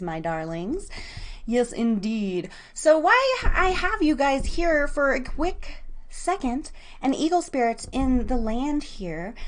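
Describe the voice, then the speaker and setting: A woman with an American accent and a high voice hosts a live online radio show. She chats casually and affectionately with her listeners, with a bubbly, informal manner. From United States.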